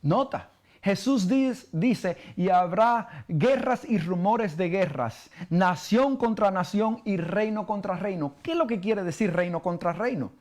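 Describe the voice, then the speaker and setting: Cuban pastor with halting delivery. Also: male voice, high voice, animated, darkish tone. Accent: Cuban Spanish. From Cuba. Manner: halting, many fillers, asks questions. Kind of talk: bible study sermon.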